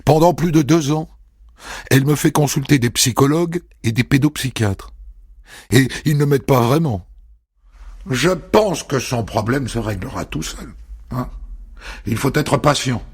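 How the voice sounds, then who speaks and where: A man telling a first-person story as a narrator, in a measured, intimate reading. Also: medium pitch, slightly rough, no echo. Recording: dry studio voice over soft music.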